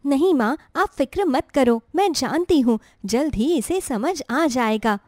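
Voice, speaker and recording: Woman as patient, gentle young elder sister. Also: high voice, expressive, measured pace, no echo, studio quality. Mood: calm, patient.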